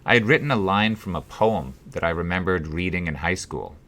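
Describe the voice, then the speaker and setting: A man reading his own first-person story as an audiobook, with measured, deliberate phrasing. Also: low-pitched, expressive, sharp tone. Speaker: author reading own book.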